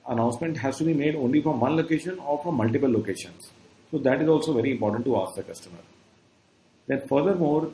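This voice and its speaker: A man's voice: a little high, warm tone. Indian-accented male trainer in an echoey room. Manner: didactic, often checking in with "okay". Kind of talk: instructional lecture, explaining step by step. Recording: roomy echo, faint background noise.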